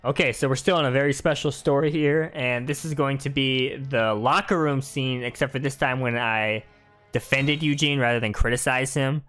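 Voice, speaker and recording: A man's voice: mid-range voice, steady tone, normal speed, fairly bright tone, soft background music. A man giving casual, chatty, explanatory commentary over a video game walkthrough.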